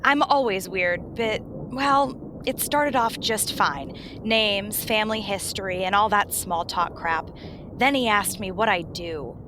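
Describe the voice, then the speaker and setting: A woman's voice: mid-range voice, slow pace, background noise, natural voice. A woman playing a dramatic, exasperated romance novelist in her thirties in a radio play, emphatic and self-deprecating. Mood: exasperated, embarrassed.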